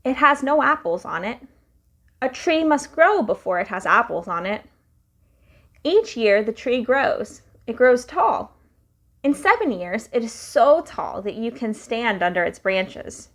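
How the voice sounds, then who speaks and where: Young woman reading picture books aloud for kids, sing-song and unhurried. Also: fairly high pitch. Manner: slow, lively, sing-song storytelling. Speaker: children's storytime reader.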